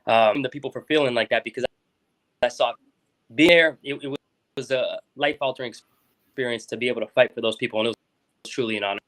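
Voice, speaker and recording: A young man from South Florida speaks as a guest on a local talk show. He rambles casually, trips over his own words and restarts often. His voice sits at an ordinary middle pitch. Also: fast, long pauses, fairly bright tone, poor audio. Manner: rambling, many restarts and fillers. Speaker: school board member guest.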